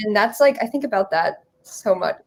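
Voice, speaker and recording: A young woman guest in a casual chat, speaking in a halting, earnest and reflective way. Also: medium pitch, lively intonation. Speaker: guest, creator.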